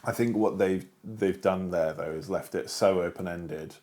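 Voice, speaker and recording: A man, co-hosting a casual podcast chat about books, enthusiastic and playful. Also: moderate pace. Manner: enthusiastic, lively swings of pitch, playful. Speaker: podcast co-host.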